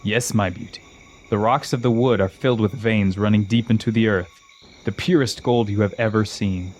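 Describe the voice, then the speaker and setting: A man playing a scheming exiled old king in a fantasy audio drama, speaking in a slow, grandiose, coaxing manner. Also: lowish voice, fairly even intonation, darkish tone, slight background noise, lightly acted. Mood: seductive, coaxing.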